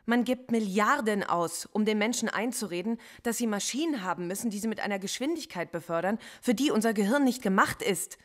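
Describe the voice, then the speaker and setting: A woman's voice: medium pitch, lively intonation, a little fast, few pauses, natural voice. A woman playing a defiant philosophy professor. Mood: lecturing. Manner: articulate, indignant, lecturing. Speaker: philosophy professor under interrogation. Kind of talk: radio play acting.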